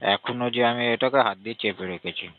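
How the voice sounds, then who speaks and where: A man with a gravelly voice chatting casually and intimately, in a complaining, coaxing way, over a tinny phone line. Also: medium pitch, fast.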